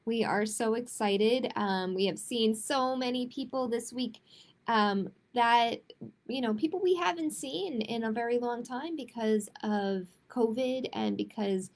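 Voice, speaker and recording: A woman hosting a children's storytime, reading picture books aloud and chatting to families in an expressive way. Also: fairly high pitch, unhurried. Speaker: children's librarian, storytime host. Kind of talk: picture book read-aloud and chatty announcements to young families.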